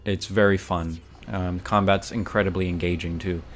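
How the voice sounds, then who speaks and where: Casting: a low-voiced young male streamer, bookish and rambling, with faint game music behind him. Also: steady tone, normal speed. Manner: rambling, self-correcting, bookish. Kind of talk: casual gameplay commentary.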